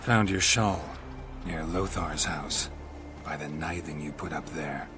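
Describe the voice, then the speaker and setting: An actor playing a gruff, laconic monster hunter in a video game, dry and deadpan with clipped phrases and a gravelly voice. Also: male voice, rumbling low, unhurried, music in the background.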